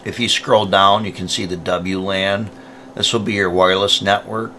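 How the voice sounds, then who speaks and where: A man's voice: sits low, flat delivery, moderate pace, bright, forceful tone, a little hiss or hum. A man presenting a product tutorial, explaining each step in a plain, methodical way. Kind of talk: step-by-step instructional narration.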